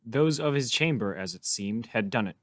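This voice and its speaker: A man's voice: lowish voice, expressive, moderate pace, a bit bright, dry recording, studio quality. A man playing a grave Scottish lord in an amateur Shakespeare reading. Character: grave Scottish lord Lennox reporting a murder.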